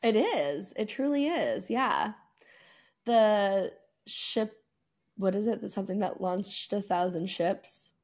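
A woman's voice: mid-range voice, expressive, slow pace, darkish tone. A woman co-hosting a podcast in casual sports chat, speaking in an emphatic, opinionated way full of hyperbole. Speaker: podcast co-host.

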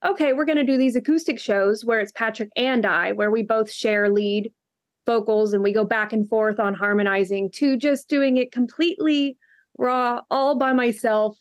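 A soft-spoken young woman from Texas, a musician chatting as an interview guest with a lot of ums. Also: fairly high pitch, moderate pace, no room sound, clean studio sound. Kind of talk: casual interview chat.

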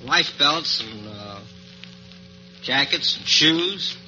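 A man's voice: mid-range voice, lively intonation, drawn-out words, bright, forceful tone, faint music behind. An American man giving plain-spoken, matter-of-fact answers as a contestant on a 1940s radio quiz. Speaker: war surplus store owner, quiz contestant. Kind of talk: unscripted radio interview answers. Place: live radio show. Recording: dull old 1940s radio recording.

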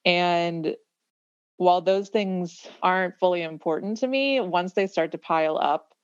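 A woman's voice: on the low side, unhurried, smooth, no echo, highs cut off. A woman of about thirty talking reflectively in a casual podcast conversation, building her points through repeated phrases. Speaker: podcast guest.

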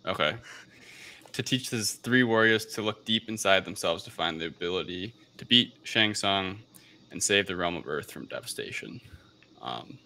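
A man's voice: low voice, expressive, moderate pace, gravelly, pushed and bright, slight background noise. A man hosting a podcast, talking casually through a film review in a somewhat hesitant way. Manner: hesitant, unsure of pronunciations. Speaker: podcast host.

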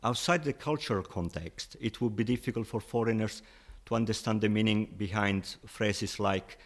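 A man's voice: on the low side, moderate pace. A man with an Italian accent, a Spanish teacher, explaining patiently as an interview guest and often saying "you know".

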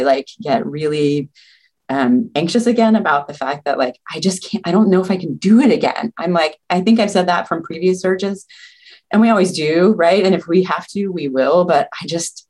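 A woman's voice: on the low side, moderate pace, darkish tone. A woman, a nursing leader, speaking as a guest on a video call, earnest and talking in long run-on thoughts. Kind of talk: casual interview chat.

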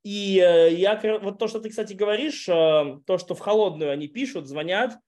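Male podcast guest, notably high pitch, relaxed rambling talker. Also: moderate pace. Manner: relaxed, rambling, casual swearing. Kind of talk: casual interview chat.

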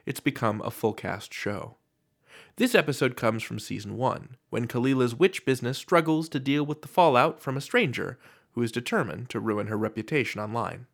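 A man's voice: medium pitch, gravelly. Man, podcast host, scripted intro, earnest delivery, dramatic emphasis, very varied melody.

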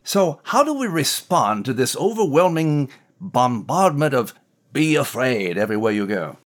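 A man's voice: mid-range voice, big pitch swings, normal speed, lightly acted. A man voicing a genteel, scholarly talking mouse who chats thoughtfully in old-fashioned, erudite phrases. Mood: musing, animated. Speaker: co-host in character. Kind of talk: conversational devotional discussion in character.

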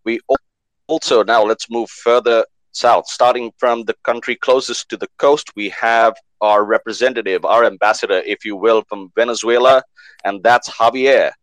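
A man hosting a live online panel show, lively and fond of repeating words for emphasis. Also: mid-range voice, moderate pace, no room sound, poor audio. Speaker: host.

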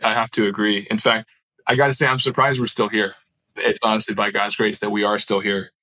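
A man tells personal stories as an interview guest, informal and earnest. His pitch is a little low and stays quite even. The recording is dry and free of room sound. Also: fairly quick, no echo, low-quality recording. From born in Southern California, grew up in Turkey. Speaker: podcast guest, aerospace founder. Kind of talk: casual personal storytelling in an interview.

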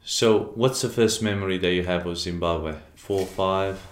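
An earnest male host shares a personal story and then interviews his guest, hesitating now and then. His voice sits low. The room adds a clear echo. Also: fairly even intonation, measured pace, a little hiss or hum.

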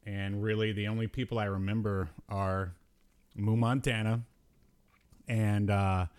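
A man with a fairly low voice co-hosts a casual podcast about pop culture. His delivery is halting and hesitant, as he feels his way toward each point in loose, conversational talk. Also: little pitch movement, clear, resonant tone. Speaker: podcast host. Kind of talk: casual chat about retro cartoons.

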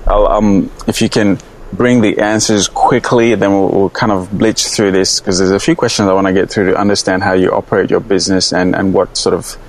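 Low-voiced male interviewer, halting delivery. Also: flat delivery, low-quality recording. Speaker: podcast host, interviewer. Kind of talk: conversational interviewing.

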